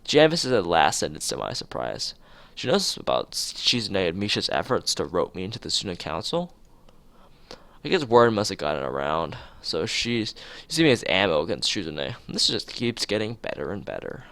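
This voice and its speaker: A man's voice: low-pitched, sing-song, normal speed, gravelly, fairly bright tone, no room sound. A man streaming a visual novel, reading the text aloud and making casual asides.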